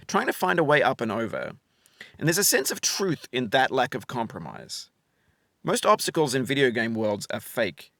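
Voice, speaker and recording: A man giving reflective scripted narration as a game developer, in a measured, articulate, philosophical manner. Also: fairly low pitch, fairly bright tone, dry recording.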